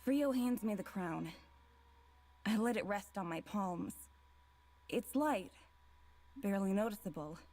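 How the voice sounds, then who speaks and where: A woman's voice: medium pitch, animated, a little slow, long pauses, darkish tone. A woman reading a visual novel's dialogue and first-person narration aloud.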